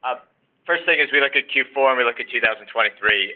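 A man's voice: mid-range voice, quick pace. A male company executive answering an analyst on an earnings call, heard over a phone line.

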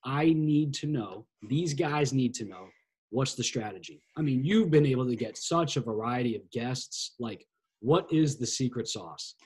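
A man's voice: mid-range voice, expressive, moderate pace, darkish tone, slightly rough. A man hosting a casual podcast interview, laid-back and easygoing, often saying "dude". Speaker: podcast host.

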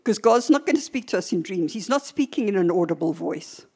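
An older woman preacher teaching a church sermon in a deliberate, emphatic way, with short pauses and repeated phrases. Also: mid-range voice, expressive, warm tone, husky.

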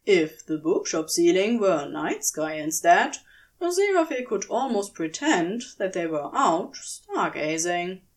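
Slow, lively female story narrator. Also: fairly low pitch. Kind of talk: scripted fan fiction narration.

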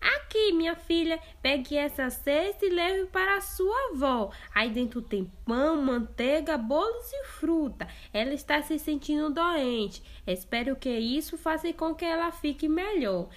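A woman voicing a caring mother giving her little daughter gentle instructions in a storybook reading. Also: high-pitched, lively intonation, unhurried, hardly any pauses, pitched above the performer's own voice. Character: caring mother of a young girl.

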